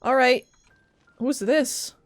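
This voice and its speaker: A woman with a fairly high voice chats casually over a game as a streamer. She is playful and reactive, and faint game music runs behind her. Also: steady tone, slow pace, no echo. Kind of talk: casual gameplay commentary.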